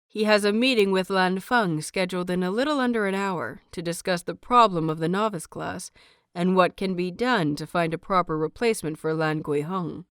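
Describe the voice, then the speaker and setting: A woman reading a story as scripted dramatic narration, measured and deliberate, with dramatic pauses. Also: on the low side, fairly bright tone, smooth, no room sound, clean studio sound. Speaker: audio fiction narrator.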